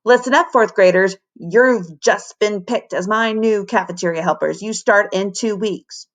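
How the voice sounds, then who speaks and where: A woman's voice: medium pitch, unhurried, lightly acted. A woman voicing a bossy, bellowing female cafeteria boss in a storybook, in a commanding manner.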